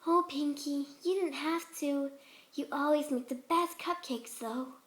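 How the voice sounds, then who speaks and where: Woman putting on a shy, high-voiced gentle pegasus. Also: unhurried. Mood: bashful. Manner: bashful, hesitant, polite. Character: shy, gentle, soft-spoken young female pegasus pony.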